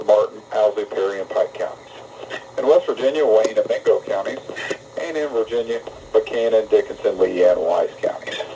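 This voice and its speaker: A man's voice: on the low side, warm tone, a little hiss or hum. A man reading a scripted public service announcement as a weather radio announcer, formal and impersonal. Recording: muffled distant weather radio broadcast, music behind.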